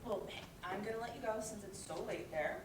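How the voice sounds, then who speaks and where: A woman's voice: mid-range voice, a little fast, lightly acted. A woman playing a breezy, affectionate older sister in a play reading, chatty and natural. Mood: playful, nostalgic. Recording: roomy, distant mic, noisy background.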